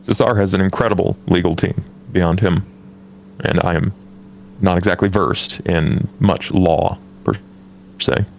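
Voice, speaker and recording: A man playing a reserved gentleman vampire in a tabletop game, with measured formal speech and a gravelly voice. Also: low-pitched, fairly even intonation, slight background noise. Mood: reserved, polite.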